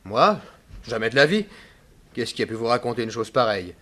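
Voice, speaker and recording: A young village potter in an old French radio play. His voice is mid-pitched, and he talks in a chatty, cheeky way with a defensive edge. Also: big pitch swings, brisk, sharp tone. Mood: indignant. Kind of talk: 1960s French radio drama acting.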